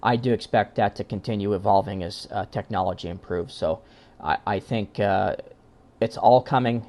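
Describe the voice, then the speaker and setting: Low-pitched man giving an informal presentation. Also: normal speed, a little mellow. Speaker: conference presenter, amateur radio exam team founder.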